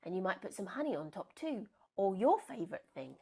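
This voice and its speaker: Animated woman reading a children's story. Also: medium pitch, vocal fry, slightly rough. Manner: animated, unhurried, dramatic.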